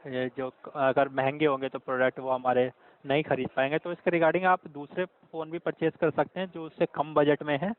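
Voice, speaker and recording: A man's voice: a little high, level tone, normal speed, no echo. A man working as a customer care agent, answering with polite, formal, apologetic phrases over a recorded phone call. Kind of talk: scripted call-center politeness.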